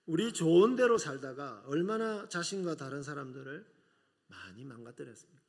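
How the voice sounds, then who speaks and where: A middle-aged man with a regional Korean accent preaching a sermon to a congregation, earnest, with rhetorical questions. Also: a little high. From South Korea, outside Seoul. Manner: earnest, rhetorical questions, frequent filler "yes". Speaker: preacher, seminary professor.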